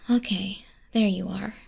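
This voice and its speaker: A woman playing a sardonic, bored demon clerk in a whispered ASMR roleplay, soft-spoken and condescending, close to a binaural microphone. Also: mid-range voice, slow pace, creaky, breathy edge, full character voice. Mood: amused, snooping. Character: sardonic, bored female demon clerk registering sinners in Hell.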